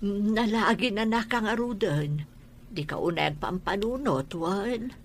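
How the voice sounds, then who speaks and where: A woman's voice: mid-range voice, lively intonation, a little slow, a little hiss or hum. A woman acting as a doting, fussing older auntie in an Ilocano radio drama. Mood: motherly concern. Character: doting older auntie comforting her niece. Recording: music bed under the voice.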